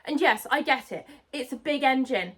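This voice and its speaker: Young british girl ranting into her phone by the road, high-pitched, fed up and indignant. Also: animated, moderate pace, a bit bright, breathy edge. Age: young adult. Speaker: vlogger. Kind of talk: ranting vlog. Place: outdoors beside a road. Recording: phone mic outdoors, cars passing.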